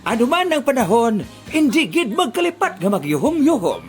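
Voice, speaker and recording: Woman acting as playful grandpa co-host, high, lively, punchy comic replies, music behind. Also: moderate pace. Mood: cheerful. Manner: short, punchy interjections. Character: playful old grandpa sidekick on comedy radio. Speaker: radio co-host and sidekick. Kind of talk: comedic call-and-response banter.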